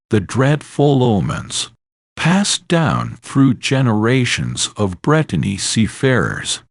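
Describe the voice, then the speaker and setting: A man's voice: medium pitch, no room sound. A man narrating a scripted horror story in an ominous, theatrical and solemn manner.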